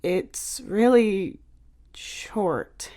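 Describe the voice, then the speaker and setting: A woman's voice: medium pitch, animated, drawn-out words, mellow. A woman voicing a shy, self-conscious teenage boy, a sullen younger brother speaking in hesitant, terse audiobook dialogue. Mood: embarrassed.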